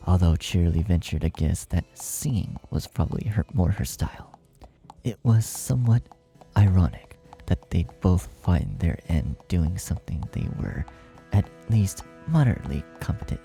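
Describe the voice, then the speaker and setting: Very dark, creaky-voiced male storyteller. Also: sits low. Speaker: fan fiction narrator. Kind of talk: scripted story narration.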